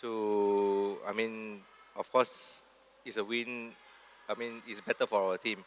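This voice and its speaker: A male team manager answering pre-match interview questions in hesitant, halting phrases. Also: fairly low pitch, flat delivery, fairly bright tone.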